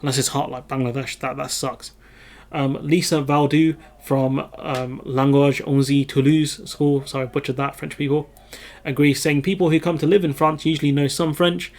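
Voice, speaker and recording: A man's voice: medium pitch, flat delivery, normal speed, darkish tone. A British man hosting his own video channel, reading out a news story and adding rambling, self-correcting remarks full of ums. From United Kingdom. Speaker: video host. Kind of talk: casual commentary reading news.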